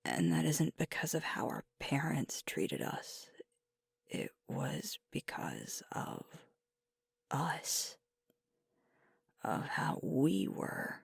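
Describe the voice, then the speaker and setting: A woman playing a sweet, weary werewolf girlfriend in an ASMR roleplay, confiding softly and haltingly in a breathy voice. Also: a bass voice, huge pitch swings, no echo, clean studio sound, exaggerated voice. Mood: sad, halting. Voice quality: soft, breathy, whispery. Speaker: ASMR voice actor. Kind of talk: ASMR roleplay, intimate one-sided conversation.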